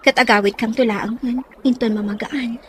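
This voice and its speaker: A woman playing a gentle, wistful young provincial woman in an Ilocano radio drama, with a Filipino Ilocano accent. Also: a little high, fairly even intonation, moderate pace, a little hiss or hum, lightly acted. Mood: nostalgic, sad. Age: young adult.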